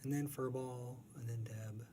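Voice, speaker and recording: A man's voice: mid-range voice, steady tone, measured pace, noisy background. A man giving a casual, slangy and rambling interview as a guest talking about creative work. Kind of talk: casual conversation.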